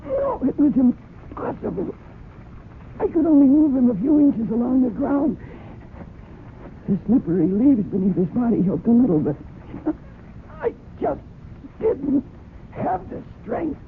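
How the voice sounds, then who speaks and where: Guilt-ridden horse owner, radio mystery drama, halting dramatic delivery, thin phone-like sound, faint noise. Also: female voice, sits high, very animated, heavily acted. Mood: straining, desperate. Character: distraught, guilt-ridden horse-owning woman, fiercely protective, confessing. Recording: tinny band-limited old radio broadcast, noisy.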